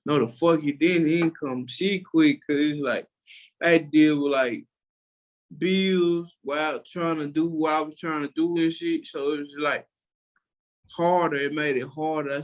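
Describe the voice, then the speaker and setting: Laid-back Southern producer, slightly high voice. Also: male voice, fairly even intonation, a little slow, dull audio. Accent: Memphis-area Southern US. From Tennessee, USA. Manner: laid-back, slangy, rambling with many fillers. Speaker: music producer guest. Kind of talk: casual interview chat.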